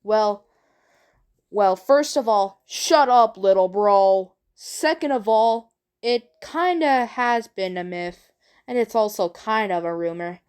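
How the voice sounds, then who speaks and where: A woman talking casually and unscripted as a video creator, in a halting, rambling way with repeated phrases. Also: mid-range voice, expressive, darkish tone, clean tone. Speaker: video creator introducing own work. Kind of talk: casual unscripted vlog talk.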